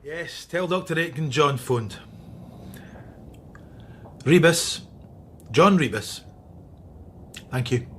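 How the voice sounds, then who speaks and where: An actor playing a brooding, wry Scottish police detective in a radio drama, with a husky voice. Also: male voice, a little high, expressive, slow pace, pauses often, fairly bright tone, a little hiss or hum. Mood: dry, guarded. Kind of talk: radio drama acting with reflective narration.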